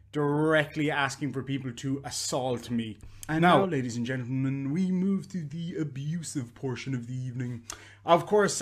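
A man in his thirties with an Irish accent, talking bluntly and profanely to a webcam in a room at home. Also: mid-range voice. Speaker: YouTuber. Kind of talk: casual vlog rant.

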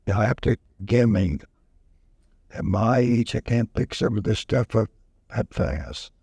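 A man in his nineties slowly recalling wartime service in an oral history session, halting with many fillers, speaking into a handheld mic. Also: lowish voice, lively intonation, creaky, warm tone, slightly rough, no room sound. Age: over 90. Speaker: veteran interviewee. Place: museum event room, indoors. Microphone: handheld mic held close.

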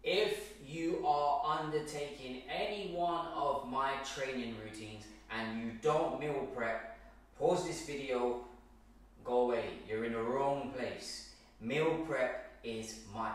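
A man in his thirties coaches viewers on meal prep, emphatic and repeating himself for effect. His voice sits mid-range and is picked up from a distance. The bare kitchen around him throws back a heavy echo. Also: mid-range voice. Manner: emphatic, repeats points, keeps asking okay. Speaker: fitness coach. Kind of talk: direct-to-camera fitness tips. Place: large bare home kitchen. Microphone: far camera, no visible mic. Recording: very echoey room, from far off.

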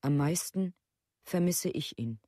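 Dark-toned, bitter grieving mother. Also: low-pitched, expressive, slow, no echo, studio quality, exaggerated voice. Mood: grieving, accusing. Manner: formal, accusing. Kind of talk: documentary radio play, read-out plea.

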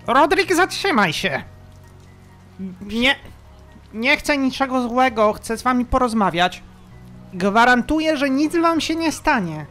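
A game master voicing a small, calm, ragged creature that speaks slowly and haltingly in a tabletop game. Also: high-pitched, faint music behind, voice pushed up, exaggerated voice. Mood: pleading. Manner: slow, halting, hums before answering. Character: small wrinkled long-nosed ragged creature, calm, hesitant, childlike.

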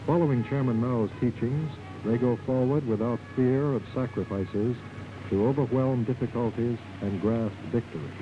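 A man's voice: mid-range voice, normal speed, background noise. Muffled old propaganda film narrator, a man. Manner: formal, declarative. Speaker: documentary narrator.

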